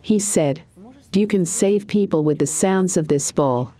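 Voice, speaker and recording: Low-pitched woman guiding a meditation. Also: faint music behind. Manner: calm, deliberate, soothing instruction with pauses. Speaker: meditation guide and coach. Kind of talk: guided meditation narration.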